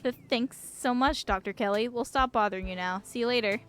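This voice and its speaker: A woman's voice: a little high, fairly quick, fairly bright tone, a little hiss or hum, natural voice. A woman playing a friendly, eager, slightly nervous young small-town woman in a visual novel, chatty and apologetic. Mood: polite, eager.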